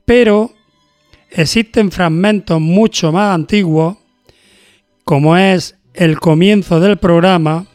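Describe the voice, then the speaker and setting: A man hosting a cultural programme, measured and deliberate, moving between scripted narration and interview talk. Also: high voice, a little mellow, no room sound. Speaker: host of a cultural radio programme.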